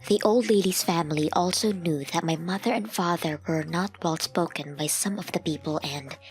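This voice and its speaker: A woman's voice: fairly low pitch, normal speed, natural voice. A woman narrating a scripted first-person story as a grown woman soberly looking back on a family memory. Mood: sober, reflective. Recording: music under the voice.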